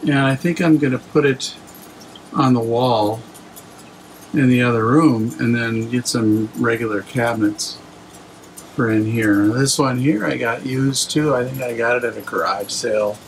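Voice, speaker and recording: A man's voice: mid-range voice, measured pace, a little hiss or hum, poor audio. A middle-aged man giving a casual, rambling walkthrough of his home fish room, hesitating with lots of fillers, filmed on a handheld phone indoors.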